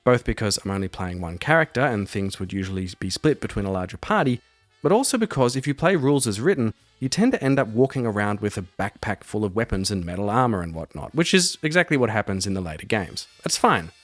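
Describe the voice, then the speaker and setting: A man hosts a roleplaying podcast with a scripted, narrated delivery. His pitch moves in a lively, animated way, and soft music plays behind him. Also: quick pace, no room sound. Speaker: podcast host and solo game master.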